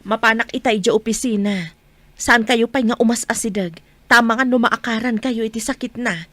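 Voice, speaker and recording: A woman plays a protective young mother in an Ilocano audio drama. Her voice is high, with an anxious but soothing manner. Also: normal speed, a bit bright, dry recording.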